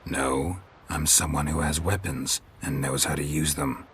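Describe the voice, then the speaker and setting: An actor playing a terse, deadpan wandering swordsman in a fantasy video game. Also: extremely low pitch, unhurried, slight background noise. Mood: quietly threatening. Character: gruff, laconic male wandering swordsman, dryly menacing. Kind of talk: video game dialogue acting.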